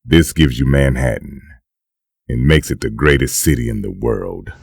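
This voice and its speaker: A man narrating a dark comic book in a grave, scripted, dramatic style. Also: extremely low pitch, lively intonation, normal speed, vocal fry, breathy edge, dry recording, clean studio sound, exaggerated voice. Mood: grave, measured.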